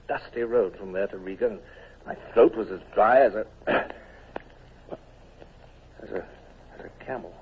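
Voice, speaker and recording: An actor playing a clipped, authoritative naval commodore who also narrates, in a vintage radio drama. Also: male voice, fairly low pitch, moderate pace, long pauses, lightly acted. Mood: brisk. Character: brisk, commanding male naval commodore. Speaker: narrator and lead. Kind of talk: 1940s radio acting. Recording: tinny old radio broadcast with faint hiss.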